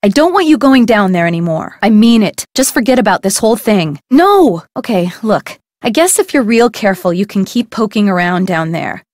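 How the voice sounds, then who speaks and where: A woman's voice: medium pitch, very animated, normal speed, few pauses, darkish tone, dry recording, studio quality, natural voice. An actress playing a friendly young woman who runs a harbor shop in a video game, talking in a breezy way. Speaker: game character.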